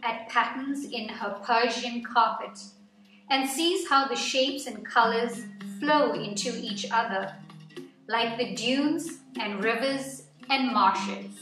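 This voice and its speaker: Echoey, bright-voiced woman reading a children's book. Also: on the high side. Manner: deliberate, phrase-by-phrase storytelling for children. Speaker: children's story-time reader. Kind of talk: expressive read-aloud of a nonfiction picture book. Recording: echoey room, distant mic, music underneath.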